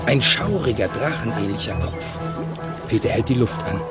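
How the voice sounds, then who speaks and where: A man narrating a children's audio play in a measured, suspenseful storytelling manner with clear articulation. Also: medium pitch, sing-song, loud music behind the voice, lightly acted. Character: suspense-building male storyteller.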